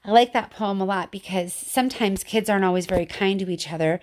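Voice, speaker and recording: Middle-aged female teacher, informal and expressive, mid-pitched voice, children's poetry read-aloud with casual commentary. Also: quick pace, a little hiss or hum. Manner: informal, chatty, expressive when reading. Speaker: teacher reading poems to children.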